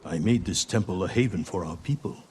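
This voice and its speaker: Guy doing kindly temple monk, lowish voice, modest n courteous, game, faint background noise. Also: fairly quick, darkish tone, natural voice. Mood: humble, helpful. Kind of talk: cinematic video game acting.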